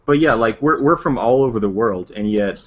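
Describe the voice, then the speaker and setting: A man from the United States leads a casual group call, talking in animated bursts that restart and rush ahead. His voice sits in a middle register. The stream audio is dull and lo-fi. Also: medium pitch, brisk. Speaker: stream host. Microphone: online call.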